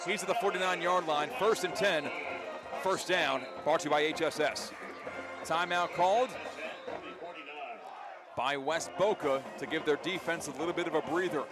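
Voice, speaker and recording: A man doing energetic live radio play-by-play commentary of a football game. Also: high voice. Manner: energetic, vivid play-by-play delivery. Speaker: play-by-play sports announcer.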